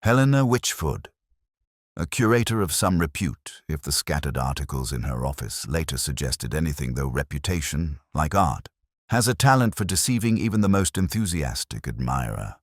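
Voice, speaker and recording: A man narrating a scripted first-person detective mystery as a reflective, world-weary inspector, with a measured, brooding literary delivery. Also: a bass voice, very animated, no room sound, clean studio sound.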